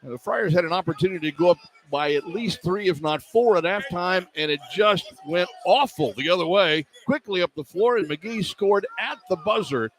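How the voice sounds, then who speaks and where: A man's voice: high voice, animated, moderate pace. A man working as a radio play-by-play announcer, calling live basketball in clipped running phrases from a courtside spot. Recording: live arena broadcast, clear background noise. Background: arena ambience and noise.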